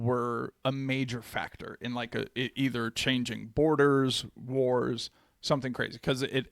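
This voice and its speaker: A man's voice: medium pitch, gravelly, dry recording, studio quality. A man co-hosting a casual podcast who talks in a loose, chatty way, full of "like", and explains bits of history. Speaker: podcast co-host.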